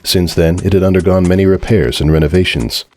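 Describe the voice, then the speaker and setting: A man's voice: low voice, a little fast, a little mellow, a little hiss or hum. A man reading a scripted story as narrator, in a dramatic storytelling style.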